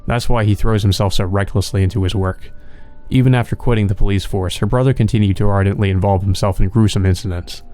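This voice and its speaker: Low, mellow-voiced man narrating. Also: steady tone, fast talker, soft background music. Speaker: let's play narrator. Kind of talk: reading visual novel narration aloud.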